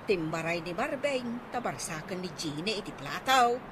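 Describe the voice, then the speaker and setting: A woman's voice: on the low side, lively intonation, measured pace. A woman playing a gossiping Ilocano neighbour who watches a quarrel in a radio comedy drama. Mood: gossipy. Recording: noisy radio drama with outdoor ambience.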